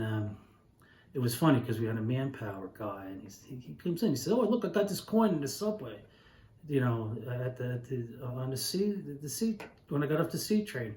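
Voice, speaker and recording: An echoey room surrounds a mature man with a mid-pitched voice. He speaks as a poet sharing his work and memories, in a conversational and reflective manner that can be halting. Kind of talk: poetry reading and informal reminiscence.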